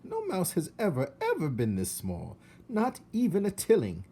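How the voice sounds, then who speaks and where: Man putting on a swooping, shrill, haughty mouse aunt. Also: wildly swooping pitch, normal speed, mellow, slight background noise, full character voice. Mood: indignant, scandalized. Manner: emphatic, disapproving, indignant. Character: haughty, scandalized female mouse aunt in a storybook.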